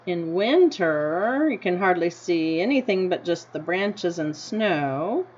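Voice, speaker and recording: An American woman teacher reading a picture book aloud to children, deliberately, with simple explanatory asides and questions to her listeners. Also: fairly low pitch, expressive, darkish tone. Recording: dull lo-fi sound with noticeable background noise.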